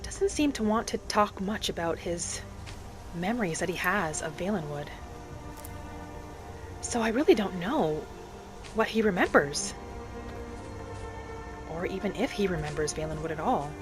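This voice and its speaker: A woman voicing a gentle young wood elf alchemist in a fantasy game roleplay, soft-spoken and musing to herself without hurry. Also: on the low side, expressive, measured pace, long pauses, music in the background. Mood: hushed. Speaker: player of a solo fantasy roleplay video series. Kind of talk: in-character game narration.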